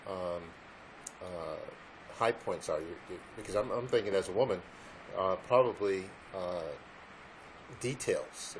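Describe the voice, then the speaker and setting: A man hosting a business interview show, speaking haltingly with many fillers and "all right" asides. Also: deep voice, a little hiss or hum, poor audio. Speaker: host.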